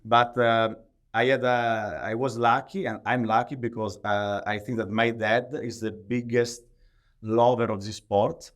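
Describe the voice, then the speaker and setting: A man with an Italian accent answering interview questions conversationally in halting, non-native English, often leaning on the word "like". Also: mid-range voice, steady tone. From Italy. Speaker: podcast guest, professional athlete.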